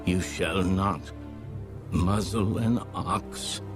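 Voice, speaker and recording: A man narrating scripture from a script, solemn and deliberate, with measured phrasing. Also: low-pitched, fairly even intonation. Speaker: audio Bible narrator. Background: background music.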